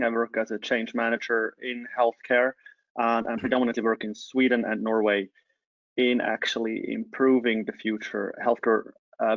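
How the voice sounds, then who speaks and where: Man, mid-pitched, halting webinar presenter, close and dry on lo-fi call audio. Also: creaky, dry recording. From Switzerland. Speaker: change management consultant, webinar presenter. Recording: dull, lo-fi online call audio.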